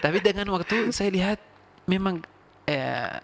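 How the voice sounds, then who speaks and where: A young man from Palestine with a Palestinian Arabic accent, answering interview questions in careful, halting second-language phrasing. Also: high-pitched, expressive. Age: young adult. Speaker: interview guest, medical student.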